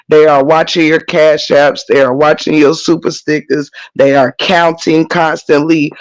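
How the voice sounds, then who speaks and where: A hoarse, slightly congested man hosting a livestream, reading the chat and ranting emphatically, with lots of swearing and repetition. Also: fairly high pitch, rough recording. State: hoarse, losing voice. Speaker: livestream host.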